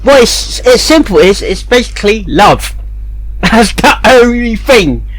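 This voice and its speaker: Higher-voiced man with swinging, lively intonation. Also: unhurried. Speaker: reaction show host. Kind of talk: casual reaction commentary.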